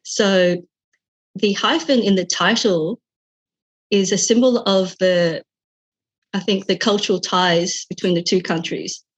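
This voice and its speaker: A woman's voice: medium pitch, fairly even intonation, unhurried, long pauses, slightly rough. A woman with an Australian accent, a poet and critic, speaking fluently and conversationally over a video call. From Vietnam, raised in Australia. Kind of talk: casual video call chat.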